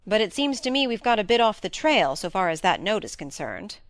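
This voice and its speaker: A woman voicing a brisk, blunt young baronet in an audiobook, with emphatic phrasing. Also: mid-range voice, sing-song, no room sound, lightly acted. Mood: puzzled, blunt. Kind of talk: audiobook character reading.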